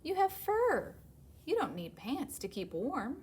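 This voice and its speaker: Bossy, practical storybook panda, played by a woman: exasperated, contrary, high and animated, noisy recording. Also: measured pace, exaggerated voice. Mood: dismissive. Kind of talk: children's picture-book read-aloud.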